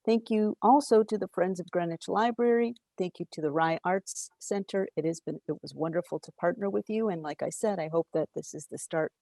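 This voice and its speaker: A woman hosts a virtual theater talkback over a video call, gushing thanks and reading out audience questions. Her voice sits somewhat low and sounds dark and mellow. The sound is close and dry, with no room echo. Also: a little fast, creaky, few pauses. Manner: effusive, reads audience questions aloud. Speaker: library program host and moderator.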